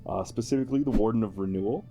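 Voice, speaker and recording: A man's voice: on the low side, very fast, mellow, soft background music. An amused, playful man, explaining a tabletop game character build in a chatty style. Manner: amused, playful, chuckling often. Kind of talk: explanatory chat with a co-host.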